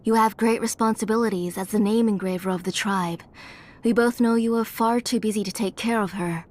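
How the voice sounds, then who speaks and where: A woman voicing a gentle, guilt-ridden mother. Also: mid-range voice, fairly even intonation, a little fast, natural voice. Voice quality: soft, gentle, sorrowful. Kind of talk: game dialogue. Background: soft game music.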